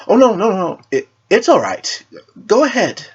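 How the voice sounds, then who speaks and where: Man putting on a playful teen witch heroine, raised pitch. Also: big pitch swings, normal speed, a little mellow, husky, heavily acted. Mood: flustered, curious. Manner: flustered, playful, higher-pitched. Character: sassy, curious teenage witch superheroine. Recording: dull lo-fi recording.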